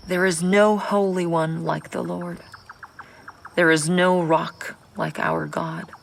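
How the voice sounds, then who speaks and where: A woman's voice: lowish voice, gravelly, a little hiss or hum. A devout mother praying. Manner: solemn, measured recitation. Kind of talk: audio drama scripture reading. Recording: studio recording with soft music underneath.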